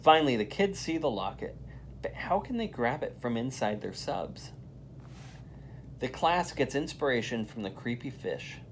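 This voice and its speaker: A volunteer storyteller reading aloud to children in an animated style. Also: mid-range voice, moderate pace, slight background noise.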